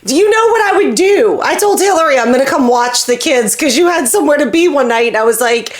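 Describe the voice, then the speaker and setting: A woman's voice: sits high, animated, fast talker. A woman co-hosting a podcast, chatting casually and candidly, swearing freely and jokingly acting out bits of dialogue. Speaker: podcast co-host. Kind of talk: casual comedic chat.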